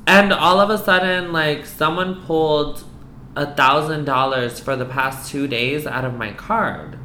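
A woman from the US East Coast telling a personal story to a host, candid and animated, filling her talk with "like" and some swearing. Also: deep voice, measured pace, slight background noise. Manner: frank, animated, peppered with "like" and swearing. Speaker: guest. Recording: roomy echo.

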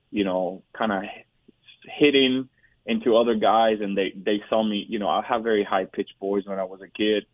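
A low, flat-voiced man from Puerto Rico in his forties tells his life story as an interview guest. He speaks haltingly, restarting sentences and leaning on fillers. The sound is dry and tinny, like a phone line. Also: no echo. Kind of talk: personal testimony interview.

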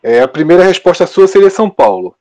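A man's voice: sits high, big pitch swings, quick pace, a little mellow, dull audio. A man hosting a podcast, putting probing follow-up questions to a guest. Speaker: podcast host. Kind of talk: interviewing, asking questions.

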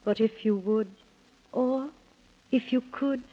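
An actress playing a gentle, solemn fairy queen who speaks in measured, stately verse in a 1930s children's radio serial. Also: on the high side. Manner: stately, measured rhyming speech. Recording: muffled old 1930s radio recording.

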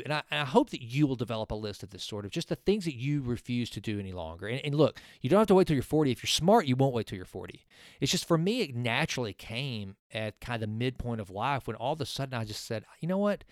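A man in his forties hosts a solo podcast and talks in an earnest, rambling way, often circling back and restarting his sentences. His voice is smooth and clear. It sits on a close mic in a dry, dead room. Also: medium pitch, dry recording. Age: 40s. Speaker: podcast host. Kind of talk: casual solo podcast talk with a sponsor read.

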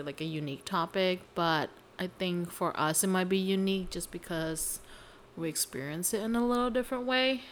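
A woman's voice: mid-range voice, fairly bright tone, a little hiss or hum. A young woman co-hosting a podcast in casual conversation, with an informal, playful, self-deprecating way of talking. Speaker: podcast co-host. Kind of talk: casual chat.

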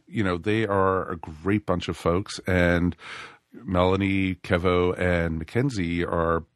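A man's voice: sits low, dry recording, studio quality. A man hosting a casual live podcast, a little scratchy from a cold, rambling with lots of fillers. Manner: rambling, many fillers and restarts. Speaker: podcast host.

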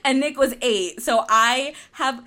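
A woman's voice: high voice, takes it slowly, sharp tone. A young woman from Argentina co-hosting a podcast in casual chat, laughing often and tripping over her words. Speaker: podcast co-host.

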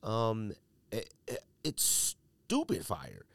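Low-voiced man, casual podcast host. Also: huge pitch swings, slow, a bit bright, no room sound. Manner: emphatic, slangy. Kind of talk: casual opinionated podcast commentary.

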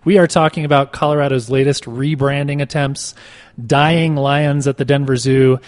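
A man's voice: medium pitch, fairly even intonation, moderate pace. A man hosting a casual podcast in a wry, joking way. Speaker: podcast host. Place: marble boardroom in a clock tower. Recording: echoey marble room, long reverb.

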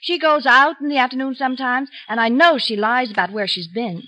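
An actress playing a genteel, guarded small-town heiress in a 1950s radio drama, speaking in a hesitant, confiding way. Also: female voice, high voice, brisk, pushed and bright. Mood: suspicious. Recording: dull old radio recording.

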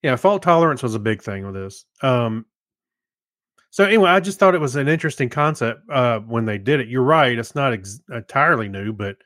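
A middle-aged man co-hosting a podcast, talking through tech topics in a halting, loosely phrased way. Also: medium pitch, expressive, fairly bright tone, no room sound, studio quality. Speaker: podcast co-host. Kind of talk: casual tech chat.

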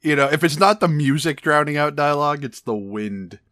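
Male podcast co-host, bright and animated, quick to joke and laugh, dry close sound. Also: a little high, no echo. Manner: joking, laughs often. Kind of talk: casual movie review chat.

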